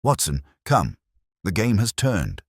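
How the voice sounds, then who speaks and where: A man voicing a keen, commanding Victorian detective in an audiobook, brisk, precise and authoritative. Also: on the low side, sing-song, dry recording, studio quality, pitched above the performer's own voice, heavily acted. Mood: urgent. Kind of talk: audiobook character dialogue.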